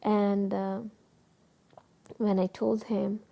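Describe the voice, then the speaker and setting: A middle-aged woman with a Pakistani accent tells her own story in a flat, mellow voice. She talks earnestly but hesitantly, with many fillers and restarts. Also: medium pitch, extremely slow, creaky. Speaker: guest telling her story. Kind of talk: personal testimony.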